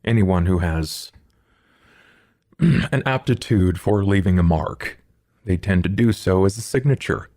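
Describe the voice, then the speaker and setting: A man voicing an eerie, composed vampire artist in a tabletop game, with slow, formal, deliberate phrasing. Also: deep voice, mellow, no room sound, clean studio sound. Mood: measured, intent. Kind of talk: tabletop roleplay in character.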